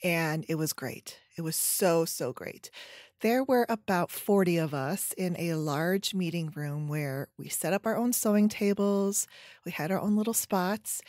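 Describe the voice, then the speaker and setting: A woman in her forties hosting a solo podcast chat at home, her voice scratchy from a sinus infection, with lots of ums and trailing pauses. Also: fairly low pitch, darkish tone, no room sound, studio quality. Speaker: podcast host. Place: home room with bookshelves. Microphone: headset.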